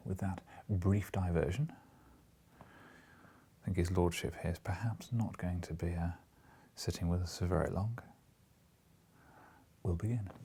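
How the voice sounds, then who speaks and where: Husky, low-voiced British man reading aloud. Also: mellow. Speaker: audiobook reader and host. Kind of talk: audiobook narration with informal asides.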